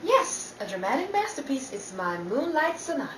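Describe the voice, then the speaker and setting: A woman's voice: high-pitched, sing-song, normal speed. An actress playing a dry, witty woman writer in a 1930s radio comedy, arch and theatrical. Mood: lofty. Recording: roomy, distant, noisy stage-like recording.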